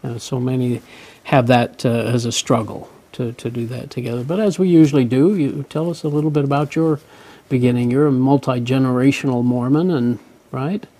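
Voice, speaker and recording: Male host, husky and mellow, soft-spoken and encouraging. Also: medium pitch, fairly even intonation. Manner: soft-spoken, affirming, quick to agree. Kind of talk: casual interview chat.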